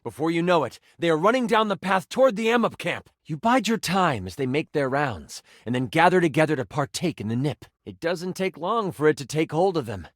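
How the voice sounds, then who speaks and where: A man's voice: on the high side, very animated, brisk, hardly any pauses, dry recording, clean studio sound. A man playing a playful game master, narrating a fantasy tabletop adventure in a theatrical, dramatic storytelling style. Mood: suspenseful. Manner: theatrical, second-person storytelling.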